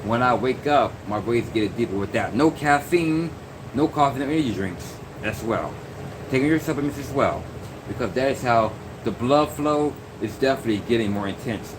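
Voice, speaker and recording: Young man vlogger, middle pitch, meandering casual talk, noisy air-conditioned room. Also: expressive, moderate pace. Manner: meandering, many fillers and restarts. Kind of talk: rambling casual monologue. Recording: noisy background hum.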